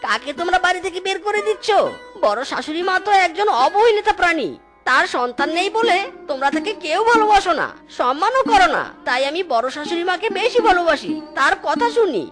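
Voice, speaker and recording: A performer voicing an excitable, cheeky young male cartoon animal son-in-law in exaggerated, exclaiming dialogue. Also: very high-pitched, brisk, over music. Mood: indignant, pleading.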